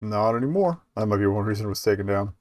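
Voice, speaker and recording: A man's voice: on the low side, animated, quick pace, a little mellow, dry recording, studio quality. A man giving casual live game commentary as a streamer, rambling and hesitant, with muttered asides.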